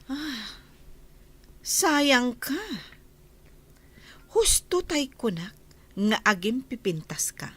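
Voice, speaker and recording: A woman's voice: a little high, big pitch swings, very slow, long pauses, a bit bright, slightly rough, full character voice. A woman playing a haughty, scornful lady of a mansion in a radio drama, speaking in a cutting, condescending way. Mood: haughty, probing. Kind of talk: Ilocano radio drama acting.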